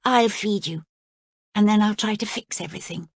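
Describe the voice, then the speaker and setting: A woman voicing a prim, polite young princess in a storybook reading, earnest and proper, raising her voice high. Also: moderate pace, slightly rough, no echo, studio quality. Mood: gentle.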